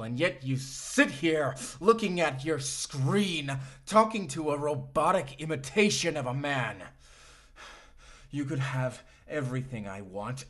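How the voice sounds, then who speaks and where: A man voices a menacing robot boyfriend with a mid-pitched male voice and very unhurried pacing. He sounds bitter and obsessive, weighing each phrase theatrically, as in a dark science-fiction roleplay. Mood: hateful, intense. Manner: deliberate, bitter, theatrical delivery. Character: vengeful, obsessive male AI companion turned menacing robot lover. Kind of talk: dark sci-fi audio roleplay, ASMR.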